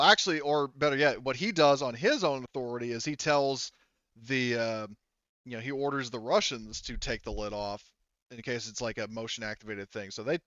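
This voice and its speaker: A man's voice: mid-range voice, a bit bright, no room sound, dull audio. A man working as game master, improvising tabletop narration piece by piece, with bits of character dialogue.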